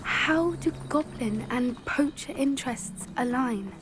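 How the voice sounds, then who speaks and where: An actress playing a cautious, sharp young female student witch in a video game, wary and analytical. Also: high voice, takes it slowly, noisy background. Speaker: student companion. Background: game music.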